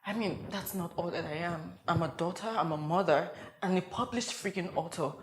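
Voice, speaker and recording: A woman's voice: fairly low pitch, normal speed, natural voice. A woman performing a dramatic comedic monologue as a frazzled young wife and mother who rambles and interrupts herself in a meltdown. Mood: weary, shaken. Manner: rambling, flustered, self-interrupting. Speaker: actor performing an audition monologue.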